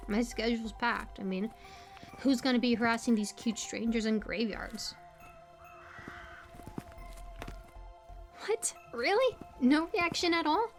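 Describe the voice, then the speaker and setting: A quirky young woman, played by a voice actress, chatty and high-pitched, in a casual audio roleplay over music. She rambles playfully with bubbly energy, like a friendly small-town local. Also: close to an everyday voice. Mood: playful, teasing.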